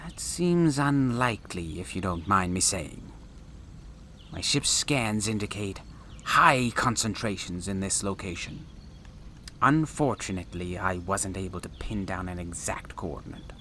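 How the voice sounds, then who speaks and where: A man's voice: sits low, animated, measured pace, pushed and bright, a little hiss or hum, full character voice. A man playing an eccentric, insistent space traveler and professor in a sci-fi audio drama, with a theatrical, wordy, formal manner. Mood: urgent, insistent.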